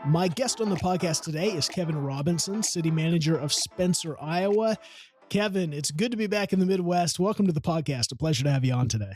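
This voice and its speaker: A man hosting a podcast about local government, reading a polished, earnest intro and then chatting warmly with his guest. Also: fairly high pitch, a little fast, soft background music. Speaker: podcast host. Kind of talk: scripted intro then conversational interview.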